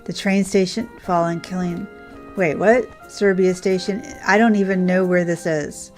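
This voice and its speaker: Woman chatting casually over clear background music. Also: lowish voice, steady tone, moderate pace, darkish tone. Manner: rambling, conversational, thinking aloud. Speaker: game streamer, host. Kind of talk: casual livestream chat while playing. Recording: music playing behind the voice. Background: background game music.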